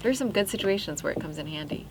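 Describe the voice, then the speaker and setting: A woman's voice: medium pitch, expressive, quick pace, background noise. A young woman born in Pakistan, a guest on a podcast, talking casually and reflectively with many fillers and frequent laughs. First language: Urdu. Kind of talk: casual interview chat.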